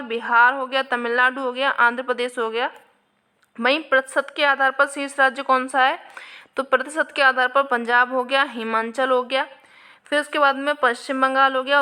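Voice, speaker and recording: Bright, high-voiced woman teacher. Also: smooth. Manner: explains each answer and repeats key points; addresses viewers with frequent "आपके". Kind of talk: exam question walkthrough lesson.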